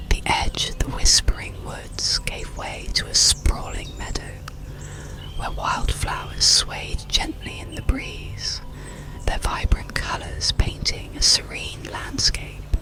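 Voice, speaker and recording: A narrator reading an ASMR bedtime story in a calm, breathy, soothing whisper, unhurried throughout. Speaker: audiobook narrator.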